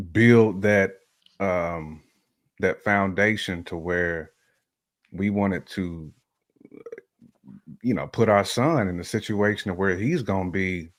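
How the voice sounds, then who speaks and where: A man giving his opinions in casual conversation, emphatic and repeating phrases to drive a point home. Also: deep voice. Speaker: podcast guest panelist giving opinions.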